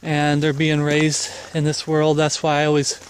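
A man's voice: on the high side, completely flat delivery, normal speed, clean tone. A middle-aged man giving an informal, rambling sermon while walking outdoors on a wooded trail, recorded on a handheld phone. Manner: earnest, rambling, exhorting with fillers. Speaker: street preacher. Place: foggy woodland trail outdoors. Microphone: phone camera held at arm's length.

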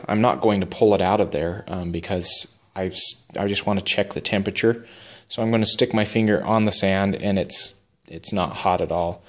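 A man in his garage explaining a repair in a plain, unhurried way, with frequent ums and restarts. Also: fairly low pitch, a bit bright. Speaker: hobby welder. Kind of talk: casual how-to narration. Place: home garage workshop.

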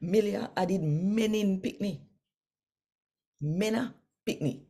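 An older woman with a Jamaican accent reading scripture in Patois in a measured, deliberate way. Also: mid-range voice, warm tone. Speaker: Bible reader and presenter. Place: small home room, indoors.

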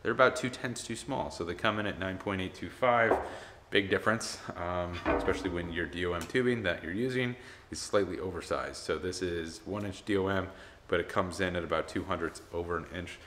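A man's voice: sits low, brisk, few pauses. A man in his thirties talking casually and matter-of-factly to camera, like a DIY builder explaining his project. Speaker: DIY builder, video host. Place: small garage workshop, indoors. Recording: clear background noise in garage workshop.

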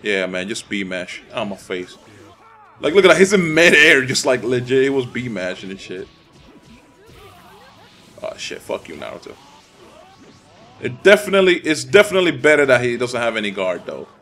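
A man's voice: medium pitch, big pitch swings, normal speed, long pauses, fairly bright tone, faint music behind. A young man from the Dominican Republic giving casual, profane commentary while playing a fighting game, ranting and easily irritated. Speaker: gameplay commentator.

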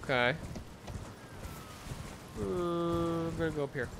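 A young man streaming a game from a small home room, sounding nasal and congested, rambling and breaking into loud outbursts. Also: on the high side, slow, noisy background. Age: young adult. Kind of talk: live gameplay commentary. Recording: game sound clearly underneath.

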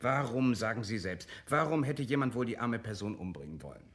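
Schauspieler als redseliger, lebhaft betonender Gentleman. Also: medium pitch, animated, a little fast, lightly acted. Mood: eager, chatty. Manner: emphatic, theatrical, chatty. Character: chatty, opinionated gentleman, excitable amateur sleuth. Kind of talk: German radio crime drama acting.

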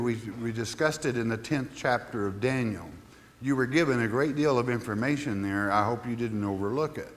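Male pastor, mid-pitched, chatty teaching delivery with scripture readings, long hall echo. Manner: conversational, asks the listeners questions, reads scripture aloud. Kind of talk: Bible study teaching.

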